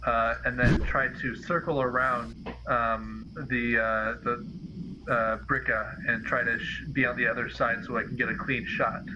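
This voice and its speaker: A man casually describing moves as a player in a tabletop roleplaying game, halting and restarting sentences. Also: mid-range voice, little pitch movement. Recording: dull lo-fi audio with clear noise.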